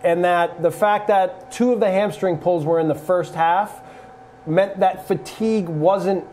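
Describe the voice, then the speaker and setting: Former coach analyzing a soccer match. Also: male voice, high voice, steady tone, a little mellow, slight background noise. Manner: measured, draws on coaching experience. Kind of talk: studio sports analysis. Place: TV studio desk. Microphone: lapel.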